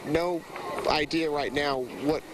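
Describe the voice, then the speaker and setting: A man delivers a live television news report from outside on a city street. His voice sits a little high for a man. Heavy traffic and outdoor noise runs behind him. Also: fairly even intonation, takes it slowly. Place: outdoors on a city street among a protest crowd.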